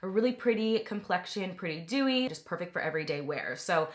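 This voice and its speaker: A young woman talking through a makeup product review in a chatty, enthusiastic way, her voice slightly raspy from a recent cold. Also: lowish voice, expressive, a little fast. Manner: chatty, enthusiastic, many "like" fillers. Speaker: beauty vlogger.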